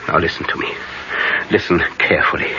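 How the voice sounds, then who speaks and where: An actor playing a grave, commanding older professor who hunts vampires in a classic radio horror drama, speaking solemnly with emphatic dramatic pauses. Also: male voice, lightly acted. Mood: solemn, urgent. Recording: dull, noisy vintage radio broadcast.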